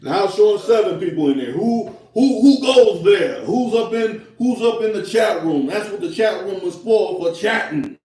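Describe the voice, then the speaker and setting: High-voiced man hosting in a roomy space. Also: animated, a little mellow. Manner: halting, many uh fillers and restarts. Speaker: host of a live stream. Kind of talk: casual call-in chat. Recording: roomy, distant mic.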